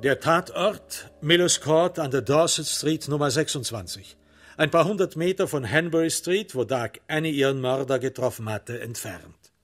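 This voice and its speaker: Measured male crime narrator over music. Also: fairly high pitch. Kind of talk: literary crime radio play narration.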